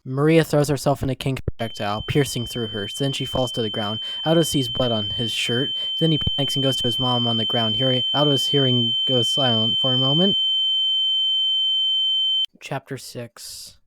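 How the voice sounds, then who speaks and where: A man's voice: mid-range voice, wildly swooping pitch, moderate pace, very noisy. A man reading scripted narration for a comic-book dramatic story. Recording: music behind the voice.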